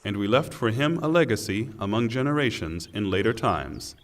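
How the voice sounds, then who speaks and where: A man reading a scripture translation in a solemn, formal, deliberate way, with pauses. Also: fairly low pitch, lively intonation. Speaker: translation reader. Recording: long hall reverb.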